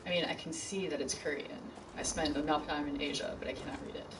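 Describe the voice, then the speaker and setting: A woman's voice: low voice, fairly even intonation, quick pace, far from the mic, noisy background. A woman teaching a college class on a stream, chatting casually and answering chat questions from her couch at home. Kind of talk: casual lecture over gameplay. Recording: game music and sound behind her.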